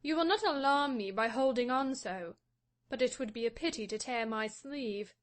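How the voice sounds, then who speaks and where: A man reading an audiobook voices a proud, defiant young wife, speaking softly and scornfully. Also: extremely high pitch, moderate pace, pitched above the performer's own voice. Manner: soft, hushed and scornful. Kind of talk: audiobook reading of a classic novel.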